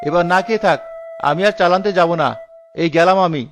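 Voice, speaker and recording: A man's voice: high-pitched, brisk, lightly acted. A man voicing a stingy, scolding village husband in a cartoon, dramatic and full of complaint. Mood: angry scolding. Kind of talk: cartoon dialogue. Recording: dull lo-fi audio with music behind.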